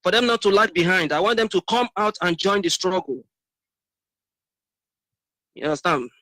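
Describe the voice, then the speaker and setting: A man's voice: high-pitched, animated, moderate pace, a bit bright, no room sound. A man with a Nigerian Igbo accent, exhorting listeners in repeated phrases as a caller on a political radio phone-in. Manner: exhorting, repetitive. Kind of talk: political appeal with blessings.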